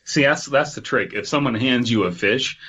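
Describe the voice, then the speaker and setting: A man's voice: medium pitch, normal speed, sharp tone, slightly rough. Male podcast co-host, mid-range voice, irreverent and crude, casual story reading, slightly lo-fi sound. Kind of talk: casual comedic chat with read-aloud horror story.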